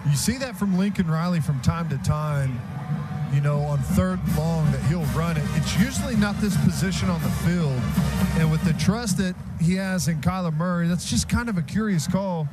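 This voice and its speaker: A man's voice: fairly high pitch, normal speed, over loud music. An American man giving conversational, explanatory football analysis as a radio color commentator. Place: stadium radio booth. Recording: live radio broadcast, loud stadium sound behind.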